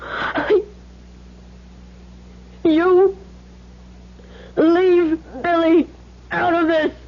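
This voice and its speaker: A woman's voice: extremely high pitch, flat delivery, very slow, heavily acted. An actress playing an embattled wife and protective mother, speaking with tense, clipped defiance in old-time radio drama style. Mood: shaken, protective. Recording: muffled lo-fi old radio recording.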